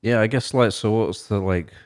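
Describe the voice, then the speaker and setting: A man hosting a relaxed studio interview, asking rambling questions full of fillers and backing the guest with short replies. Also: lowish voice, a little fast. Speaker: interview host. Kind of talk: casual interview chat. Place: music studio.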